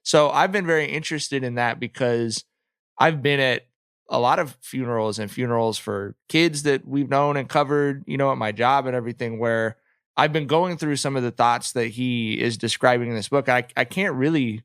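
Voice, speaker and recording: A man co-hosting a podcast, talking casually and reflectively in a halting, thinking-aloud way. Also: medium pitch, fairly bright tone, smooth, dry recording, clean studio sound. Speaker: podcast co-host. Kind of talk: casual reflective book discussion.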